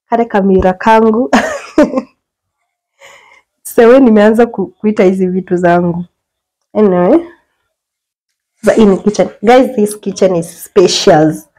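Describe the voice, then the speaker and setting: A woman's voice: fairly high pitch, poor audio. A young Kenyan woman chatting her way through a house tour, filming on a handheld phone in a small indoor apartment. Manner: chatty, mixes English and Swahili slang, lively exclamations. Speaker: vlogger giving a house tour. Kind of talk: casual house tour chat. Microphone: phone camera held while walking.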